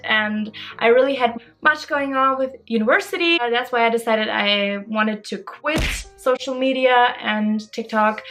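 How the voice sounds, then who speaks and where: A woman's voice: on the high side, sharp tone. A woman, chatting casually and expressively in a bubbly way as she answers questions for a vlog. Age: early 20s. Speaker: social media creator answering follower questions.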